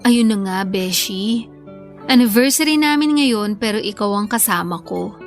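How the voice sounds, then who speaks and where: A woman's voice: medium pitch, lively intonation, normal speed, faint music behind, close to an everyday voice. A woman with a Filipino accent playing a frustrated, doubtful young working girlfriend in a radio drama, sighing and sulky.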